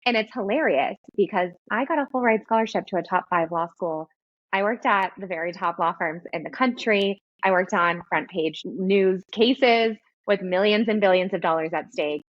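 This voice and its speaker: A woman's voice: medium pitch, normal speed, dry recording, muffled recording. Woman with a girly voice and slight lisp. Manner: animated, laughs while talking. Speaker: podcast host and business coach. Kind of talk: casual interview chat.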